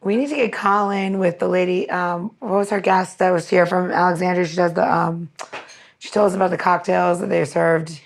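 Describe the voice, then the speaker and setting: A woman's voice: on the low side, fairly even intonation, normal speed, vocal fry. A woman co-hosting a podcast in casual chat, with an informal, effusive and rambling way of talking. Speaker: podcast co-host.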